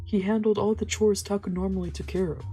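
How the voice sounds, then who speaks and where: A woman's voice: mid-range voice, level tone, fast talker, dark tone. A woman streamer reading a visual novel aloud as narration, with casual reactions of her own. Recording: faint background music.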